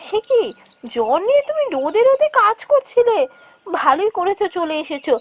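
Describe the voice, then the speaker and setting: Village housemaid and wife for a cartoon, acted by a woman: high, caring, fretful, deferential. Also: sing-song, normal speed. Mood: worried, caring. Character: caring, worried village wife working as a maid. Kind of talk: cartoon voice acting.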